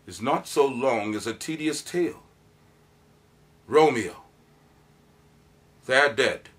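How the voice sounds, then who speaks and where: A man's voice: medium pitch, bright, forceful tone, husky, exaggerated voice. A man performing a grave cleric who confesses a tragedy in a solemn, declamatory Shakespearean monologue. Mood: grave, sorrowful.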